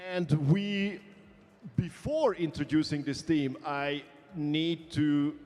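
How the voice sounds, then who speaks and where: A man's voice: fairly high pitch, slow, a little mellow. Chatty male host in echoey hall. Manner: chatty, playful, enthusiastic. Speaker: event host. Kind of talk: live event commentary and interviews. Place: large competition hall. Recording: long echo of a big hall.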